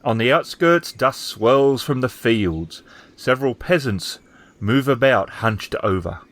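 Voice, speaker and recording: A man's voice: mid-range voice, expressive, measured pace, fairly bright tone. A man narrating a tabletop roleplaying game as game master, in a descriptive storytelling style.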